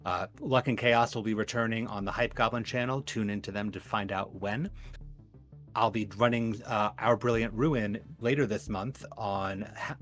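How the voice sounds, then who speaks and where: A man with a fairly low voice talks casually as a player in a tabletop game. Faint music plays behind him. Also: a bit bright. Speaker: player and performer in tabletop games. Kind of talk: casual game talk and plugs.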